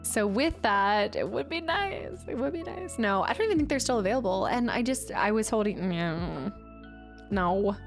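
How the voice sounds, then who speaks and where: A woman's voice: mid-range voice, expressive, normal speed. A woman hosting a casual live stream, chatting to herself and viewers as she works, sounding slightly congested. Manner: chatty, murmuring to self and replying to viewers. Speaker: livestream host. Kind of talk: casual live stream chat while building. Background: music.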